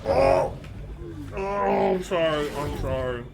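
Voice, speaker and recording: A man's voice: fairly high pitch, takes it slowly, gravelly, a crowd in the background. A man hosting a reaction video, talking casually and directly to viewers in informal, slangy speech.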